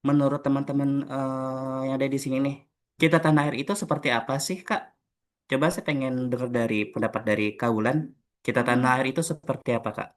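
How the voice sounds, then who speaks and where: A young man from Jakarta hosting a casual group podcast, friendly and relaxed, often pausing on "eh". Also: medium pitch. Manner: friendly, relaxed, frequent filler "eh". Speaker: podcast host.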